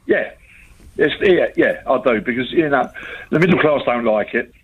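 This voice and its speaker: A man's voice: mid-range voice, expressive, moderate pace, breathy edge, narrow, tinny audio. A man in his fifties with a North West London accent, calling a radio phone-in and talking bluntly and emphatically. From England. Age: 50s. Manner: blunt, emphatic working-class talk. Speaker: caller.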